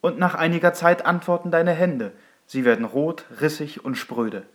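A man's voice: a little high, steady tone, normal speed, natural voice. A man playing a social media advice poster, reading scripted information in an instructive way. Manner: instructive, asks and answers himself.

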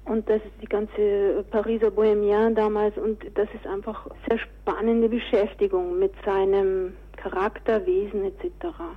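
A woman's voice: mid-range voice, flat delivery, normal speed, few pauses. Woman interviewed over a thin, phone-like sound. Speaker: interview guest, author, director and producer. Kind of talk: conversational interview answers.

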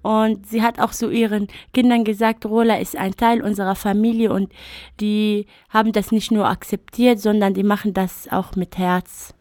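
A woman's voice: medium pitch, flat delivery, moderate pace, darkish tone, no echo, studio quality. A woman whose first language is Arabic, speaking German in a radio interview and recounting her own life thoughtfully with some hesitations and fillers. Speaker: interview guest. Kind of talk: radio interview, personal account.

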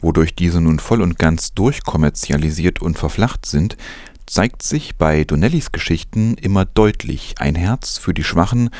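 Deep-voiced man reading news. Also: animated, unhurried, darkish tone, no echo. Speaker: news presenter. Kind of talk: scripted news narration.

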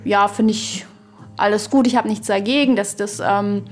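A woman's voice: mid-range voice, steady tone, moderate pace. A woman co-hosting a podcast in casual discussion, searching for words, rambling and trailing off mid-thought. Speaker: podcast co-host. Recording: voice over background music. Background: music.

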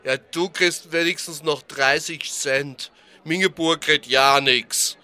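Man as a naive milk bottle, bright Rhineland voice. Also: fairly high pitch, steady tone, slow pace, smooth, heavily acted. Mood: tearful, plaintive. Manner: simple, folksy, questioning. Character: naive, plaintive little milk bottle from the Eifel. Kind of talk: German political radio play.

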